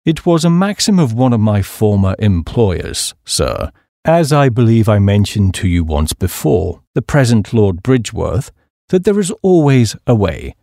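A man voicing an unflappable, deferential English valet, with a formal, measured and deadpan British delivery. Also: on the low side, gravelly, dry recording, clean studio sound, voice pushed down, exaggerated voice. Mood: deferential, composed.

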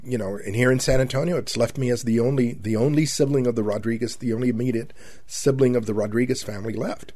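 A seventy-year-old male radio host with a husky, slightly breathy voice, at a middle pitch for a man. He speaks in a folksy, rambling monologue, stopping and restarting with many fillers. The sound is dry and close, with no room around it. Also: mellow, no echo. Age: 70s. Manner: halting, with many fillers and repeated words; folksy, addresses listeners as my friends. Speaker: radio talk show host.

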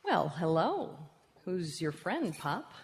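A woman's voice: lowish voice, very animated, slow pace, exaggerated voice. A woman playing a perky, sweet small-town cafe daughter in a live comic radio play. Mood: excited. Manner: gushing, giggly. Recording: reverberant live hall.

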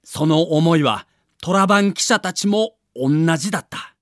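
A man's voice: a little high, animated, unhurried, a bit bright, no echo, clean studio sound. A man narrating a scripted documentary as a story, with dramatic emphasis.

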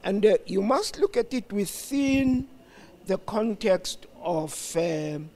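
Slow, high-pitched, animated South African man. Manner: deliberate, emphatic, explanatory. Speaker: studio guest, former radio broadcaster. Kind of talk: reflective interview talk.

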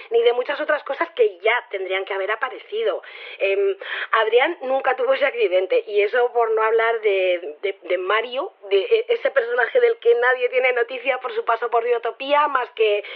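A woman's voice: fairly high pitch, lightly acted. An actress playing an earnest, flustered woman in a radio interview drama, arguing and explaining with hesitant stammers. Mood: exasperated. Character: Sofía, earnest flustered believer in a mountain-god community theory. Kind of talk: naturalistic audio drama interview. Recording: tinny, phone-like radio sound.

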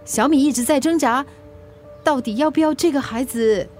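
A woman's voice: sits high, normal speed, a little mellow, faint music behind, natural voice. A woman with a Singaporean accent playing an earnest, guilt-prone young friend in a radio drama. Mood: grave.

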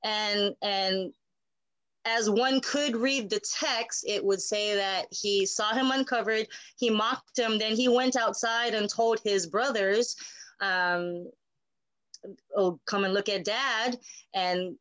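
A woman's voice: medium pitch, slow pace, a bit bright, no room sound, low-quality recording. A woman pastor co-hosting a Bible study, explaining conversationally and often checking in with "right?".

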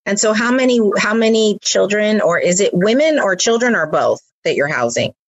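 A woman's voice: medium pitch, lively intonation, moderate pace, dry recording, dull audio. A woman hosting an interview, asking questions and responding often with short affirmations. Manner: engaged, frequent short affirmations. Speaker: interview host. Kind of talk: conversational interview.

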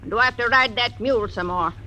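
A woman's voice: on the high side, fast talker, bright, forceful tone, rough recording. A woman in her fifties playing a tough, blunt frontier woman in a 1940s radio drama. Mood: stern. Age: 50s. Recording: old radio broadcast with faint hiss.

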